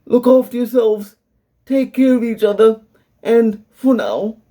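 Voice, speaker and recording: A young man with a South African English accent, earnestly explaining his situation in a personal video monologue, measured and careful. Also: extremely high pitch, steady tone, mellow. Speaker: vlogger.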